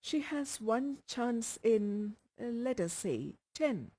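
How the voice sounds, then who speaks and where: A woman voicing a busy male doctor, frank and measured, with deliberate pauses. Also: a little high, big pitch swings, mellow, slightly rough, voice pushed up, full character voice.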